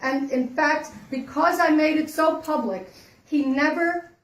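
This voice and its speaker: A woman's voice: high voice, measured pace. Woman in her 60s giving a stump speech. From Dominican Republic. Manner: earnest, emphatic. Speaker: congressional candidate. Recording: echoey room, mic from far off.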